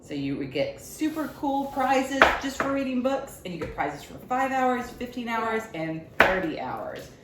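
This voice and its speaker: A woman's voice: a little high, very animated, a little slow, few pauses. A woman hosting a children's story and cooking video, talking expressively to a small child in simple questions and casual storytelling. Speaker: library staff host of a children's story and recipe video. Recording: roomy echo, clear background noise.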